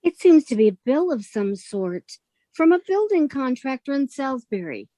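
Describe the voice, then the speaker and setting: A woman's voice: high voice, big pitch swings, moderate pace, mellow, dry recording. A woman playing an affectionate, curious, easily vexed young newlywed wife in a radio suspense play. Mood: puzzled, uneasy.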